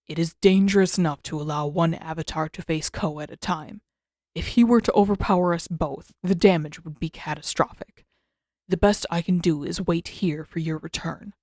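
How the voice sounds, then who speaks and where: Woman voicing a low, grave old male mentor. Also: darkish tone, no echo, studio quality, lightly acted. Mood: grave warning. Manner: grave, measured, formal. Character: stern old male avatar spirit mentor. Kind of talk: fan fiction audiobook character voice.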